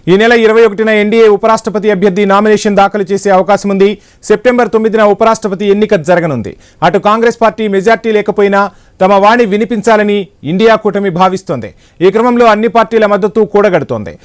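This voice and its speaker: A male news anchor, reading breaking political news in a brisk, formal broadcast manner. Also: high-pitched.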